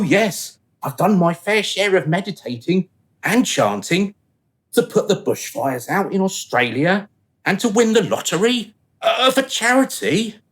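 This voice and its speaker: A man playing an anxious, pleading dead man in a radio play, excitable and flustered. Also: high voice. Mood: elated.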